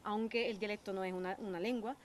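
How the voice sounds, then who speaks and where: A woman's voice: medium pitch, fairly even intonation, fast talker, slight background noise. A young Sicilian woman with an Italian accent, talking casually in an interview and explaining with many fillers and restarts. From Sicily, Italy. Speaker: guest. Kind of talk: conversational interview in Spanish.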